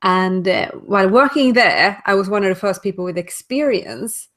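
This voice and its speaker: A woman with a Swedish accent speaks in a relaxed interview over a video call. She talks thoughtfully, sometimes stopping to search for the right English word. Her pitch sits in the middle range. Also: normal speed. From Sweden. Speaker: guest, speaker and author. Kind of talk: casual interview answers.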